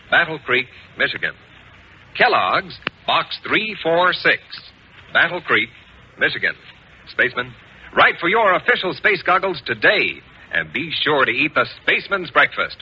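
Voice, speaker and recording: Punchy, lively male radio pitchman. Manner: emphatic, deliberate, salesmanlike. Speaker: commercial announcer. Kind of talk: 1950s radio advertisement read. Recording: dull, faintly hissy 1950s radio recording.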